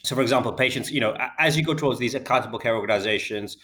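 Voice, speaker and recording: A British man in his thirties answering interview questions about his company, talking quickly and often repeating words as he restarts. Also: mid-range voice, a bit bright. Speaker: healthcare data company founder.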